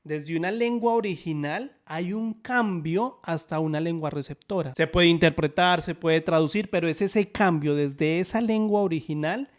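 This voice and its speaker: A man teaching a sign language lesson in Spanish, upbeat and explanatory, often checking in with quick questions. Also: fairly high pitch.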